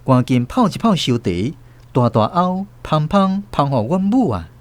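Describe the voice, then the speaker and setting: A man's voice: fairly high pitch, sing-song, normal speed. A man with a Taiwanese accent narrating a first-person story dramatically for a radio drama.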